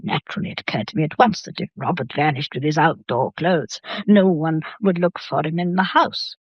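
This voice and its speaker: An actress playing an imperious old Victorian matriarch with an English accent, speaking formally and deliberately in a radio drama. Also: female voice, sits low, slightly rough, dry recording, highs cut off. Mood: composed, haughty. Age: older.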